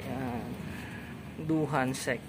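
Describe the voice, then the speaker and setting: Casual shop-tour host, mid pitch, talkative and echoing; noisy store. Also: steady tone. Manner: chatty, repeats and echoes answers, quick laughs. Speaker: vlogger touring a gear shop. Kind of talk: casual on-camera chat. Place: motorcycle gear shop, indoors.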